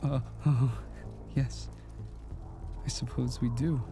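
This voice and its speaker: An actor playing an eerie, courteous, melancholy stranger from the past in an audio drama, speaking haltingly with old-fashioned politeness. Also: male voice, mid-range voice, fairly even intonation, gravelly, dark tone, faint music behind. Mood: wistful, halting.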